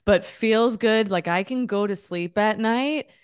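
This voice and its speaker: A woman in her thirties co-hosting a podcast, earnest and animated, talking in long runs. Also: medium pitch, measured pace, clear, resonant tone, no room sound, clean studio sound. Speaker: podcast co-host. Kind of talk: casual podcast chat.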